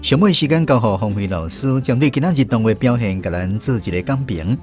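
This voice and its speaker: A Taiwanese man presents a radio language lesson in a mid-pitched voice. He introduces each turn and moves the segment along, with faint music behind him. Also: animated, brisk, darkish tone.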